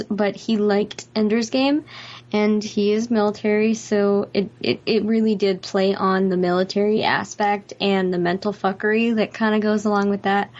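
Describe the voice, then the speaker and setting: A woman's voice: medium pitch, a little mellow. A woman co-hosting a podcast in an informal, chatty style. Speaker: podcast co-host. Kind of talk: casual chat.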